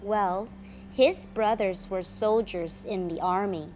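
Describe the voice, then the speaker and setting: A woman reading a children's story aloud in a dramatic, expressive way. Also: mid-range voice, takes it slowly, a little hiss or hum, poor audio. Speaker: storyteller, teacher.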